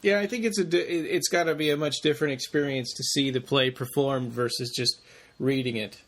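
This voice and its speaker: A man's voice: medium pitch, fairly even intonation, brisk, a bit bright. A man co-hosting a podcast, casually discussing in a tentative, thoughtful way. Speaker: podcast co-host.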